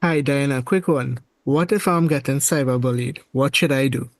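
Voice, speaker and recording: A man taking part in an online forum, speaking in a plain, unhurried way on a video call. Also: mid-range voice. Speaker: forum participant.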